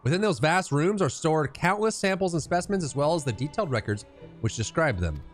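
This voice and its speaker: A man's voice: on the high side, lively intonation, fairly quick, faint music behind, lightly acted. A man voicing a proud, learned guide from a fantasy game, explaining in a grand, formal manner. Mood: lecturing.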